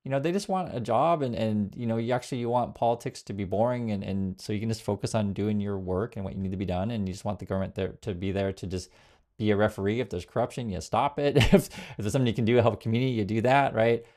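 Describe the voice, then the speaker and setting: A man's voice: on the low side, fast, darkish tone. A man born in Vietnam hosting a casual commentary channel, rambling with many fillers and reading viewer comments aloud. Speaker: political commentary host. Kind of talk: casual direct-to-camera commentary.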